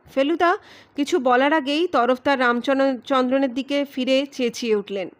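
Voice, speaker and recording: A woman's voice: sits high, normal speed. A woman storyteller hosting and narrating a dramatic audio story. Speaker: storyteller, channel host.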